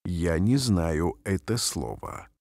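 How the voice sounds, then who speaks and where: A man reading short phrases aloud slowly and carefully, each one repeated, for language learners. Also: deep voice, sing-song, darkish tone, dry recording. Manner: careful, clearly spaced phrases. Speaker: language teacher. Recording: dry studio voice-over.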